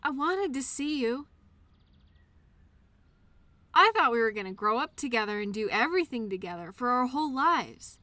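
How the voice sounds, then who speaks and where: A woman playing an innocent, childlike imaginary friend in an intimate audio drama, speaking earnestly and eagerly with wistful, hesitant pauses. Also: fairly high pitch, expressive, pushed and bright, no echo. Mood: gentle, questioning. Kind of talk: intimate scripted audio roleplay monologue addressed to listener.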